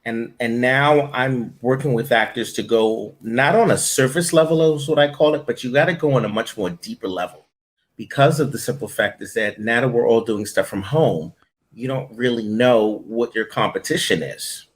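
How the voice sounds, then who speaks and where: A man's voice: medium pitch, normal speed. A man speaking as an interview guest and coach, answering conversationally and emphatically, repeating his points for stress.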